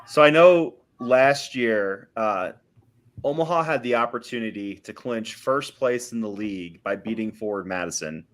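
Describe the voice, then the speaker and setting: A man's voice: on the low side, normal speed, fairly bright tone. A man hosting a podcast, asking questions with a dry, wry manner. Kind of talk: casual chat and interview questions.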